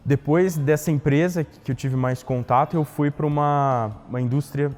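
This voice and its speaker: A man's voice: a little high, steady tone, moderate pace, a little mellow, in a large hall, faint music behind. A young man, an economics graduate, answers interview questions in a casual, reflective way and tags many sentences with "né".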